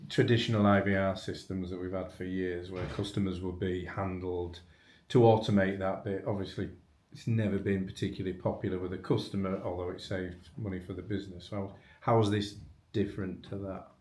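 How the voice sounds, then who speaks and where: A man's voice: low-pitched, little pitch movement, fairly quick, a little mellow. A man hosting a webinar interview, asking informal, probing questions in a conversational business style. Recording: echoey room.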